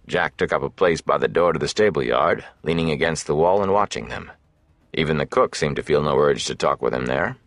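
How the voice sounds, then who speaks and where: Deep-voiced man narrating fantasy. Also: brisk, no room sound. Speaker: audiobook narrator. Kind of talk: dramatic scripted fantasy narration.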